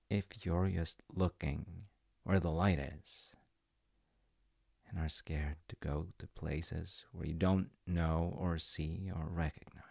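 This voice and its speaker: A man telling a gentle, rambling story as a comforting dad, with self-mocking humor, close to the mic. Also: low voice, vocal fry, dry recording. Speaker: audio roleplay storyteller playing a dad.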